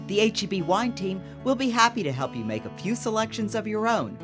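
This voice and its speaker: A woman reading a scripted promotional voice-over for an auction package in a steady announcer style. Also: mid-range voice, brisk. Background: music.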